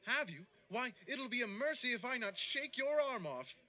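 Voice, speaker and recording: An actor playing a hearty, welcoming young nephew in an audio drama, theatrical and effusive. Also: male voice, very high-pitched, very animated, moderate pace, sharp tone, heavily acted. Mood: astonished delight. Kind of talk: audio stage drama acting.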